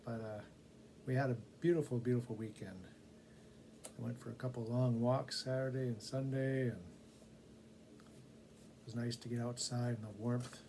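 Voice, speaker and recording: A man's voice: medium pitch, fairly even intonation, moderate pace, pauses often, dark tone, a little hiss or hum. A man with a casual, conversational manner, chatting and narrating a storybook as a volunteer reader.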